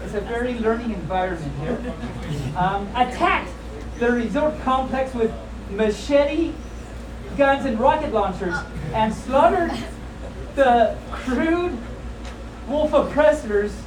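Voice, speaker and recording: A woman's voice: a little high, lively intonation, slow pace, long pauses. A woman hosting a live storytime, reading to an audience with playful, jokey, chatty asides. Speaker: live storytime host at a fan convention. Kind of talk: reading a comic children's story aloud to an audience, with casual asides. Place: indoor convention room with audience. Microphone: distant camera filming a live event. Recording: distant echoey room recording with background noise.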